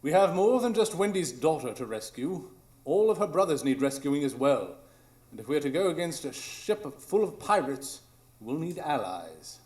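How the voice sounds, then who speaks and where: A man's voice: on the high side, very animated, moderate pace, a little mellow. A man playing a decisive, commanding detective in a live radio drama, speaking in clipped declarations. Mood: resolute.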